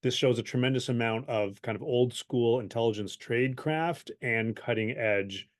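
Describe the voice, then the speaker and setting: A man giving expert interview commentary, somewhat halting as he thinks his points through aloud. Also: mid-range voice. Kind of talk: interview analysis.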